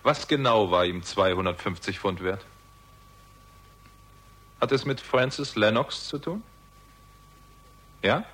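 A man's voice: lowish voice, expressive, takes it slowly, pauses often, fairly bright tone, slightly rough, full character voice. A man playing a dogged, dry-witted investigator in a German radio crime drama, questioning in short, probing phrases. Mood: probing, insistent.

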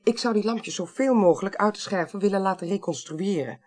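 A woman's voice: medium pitch, fairly quick, a little mellow, lightly acted. An actress playing a thoughtful, reserved female parliamentary clerk in a radio drama, musing and hesitant as she investigates. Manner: hesitant, musing, repeats words. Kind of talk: 1980s Dutch radio drama acting.

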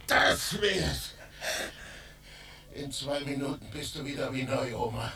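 Creaky, bullying nurse, off-mic. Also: female voice, very deep voice, lively intonation, slow, bright, forceful tone, recorded from a distance, exaggerated voice. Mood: taunting, mock-soothing. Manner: bullying, falsely soothing. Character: menacing, mock-soothing clinic nurse subduing a patient. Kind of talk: radio drama acting.

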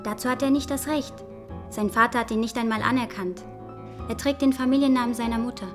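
A woman's voice: on the high side, a little fast, music in the background, natural voice. An actress playing a frank, resentful young woman informant in a German radio crime drama, direct and insistent. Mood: bitter, frank. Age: young adult.

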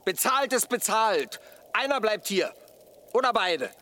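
An actor playing a fussy, blustering engineer who easily gets indignant, in a radio drama. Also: male voice, sits high, lively intonation, unhurried, bright, forceful tone, heavily acted. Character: indignant, fussy male engineer, grandiose and calculating.

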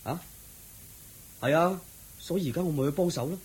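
Harried, sympathetic neighbour, Hong Kong Cantonese radio play; husky, confiding, expressive. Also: male voice, a little high, normal speed. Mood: grave, gossipy. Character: harried, gossipy, sympathetic village neighbour man. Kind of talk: Cantonese radio drama acting.

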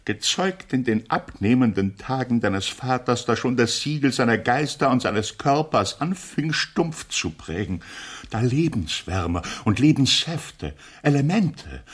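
An actor playing a grandiloquent, pedantic, mournful father in a German radio play, with a husky voice and declamatory, pompous delivery. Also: mid-range voice, heavily acted. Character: mournful, pedantic father lamenting, grandiloquent middle-aged gentleman.

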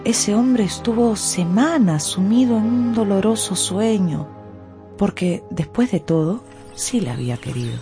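A woman narrator telling a scripted story for a radio drama in an expressive, theatrical way. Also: mid-range voice, unhurried. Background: faint music.

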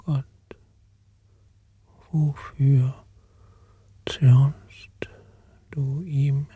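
An actor playing a desperate male disciple in a radio play, whispering slow, pleading prayers. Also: medium pitch, level tone, warm tone, slightly rough, exaggerated voice.

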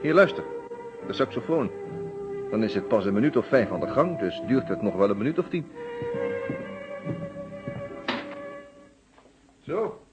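Man acting a lively narrator friend, muffled sound. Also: mid-range voice, a little fast, over music, natural voice. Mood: genial, curious. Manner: animated, conversational storyteller. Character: genial, inquisitive male lawyer friend narrating the case. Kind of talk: Dutch detective radio play narration and dialogue. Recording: muffled, noisy old radio recording.

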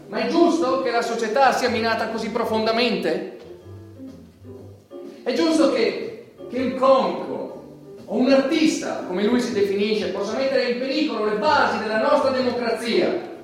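High male voice, stern moralizing judge, played by a man, stage drama, formal, emphatic, very echoey and distant. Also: lightly acted. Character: indignant, stern male judge condemning an obscene comedian. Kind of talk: theatrical stage monologue. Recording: echoey hall, distant mic, music behind.

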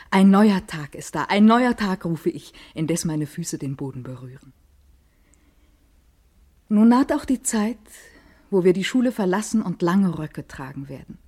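An actress playing an eager, vain adolescent girl in a literary radio monologue, intimate and expressive. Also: lowish voice, breathy edge. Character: vain, eager adolescent schoolgirl hungry for life.

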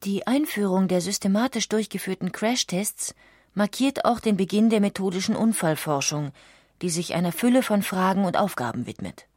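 A woman reading scripted documentary narration with measured, factual delivery. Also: mid-range voice, animated, a little mellow, breathy edge, no echo, clean studio sound.

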